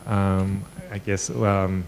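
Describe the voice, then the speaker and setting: Low-voiced man with a halting delivery. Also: little pitch movement, creaky, darkish tone, a little hiss or hum. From born in China, grew up in the US. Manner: hesitant, many fillers and restarts. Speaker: tech founder interviewed. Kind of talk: business interview, conversational.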